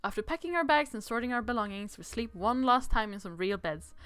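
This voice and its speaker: A young runaway royal tells a fairy-tale visual novel adventure, read aloud by a woman. The voice is high and bright, with dramatic, animated swings. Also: a little fast. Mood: hushed, urgent.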